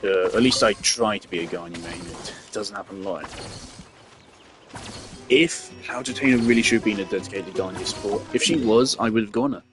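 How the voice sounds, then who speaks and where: Man, lowish voice, offhand gameplay talk, rambling and self-correcting, faint game music behind. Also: fairly quick. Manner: rambling, thinking aloud with restarts. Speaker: gameplay streamer. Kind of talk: casual gameplay commentary.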